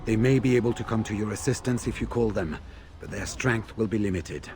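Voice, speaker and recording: An actor playing a steady, dutiful veteran warrior commander in a video game, measured and formal. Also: male voice, lowish voice, a little hiss or hum, lightly acted. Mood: grave briefing.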